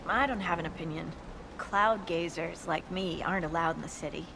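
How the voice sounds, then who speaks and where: A woman's voice: on the low side, normal speed, gravelly, heavy background noise. An actress playing a wry, informal dwarf scout woman in a fantasy video game, with an offhand manner. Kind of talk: video game cutscene acting.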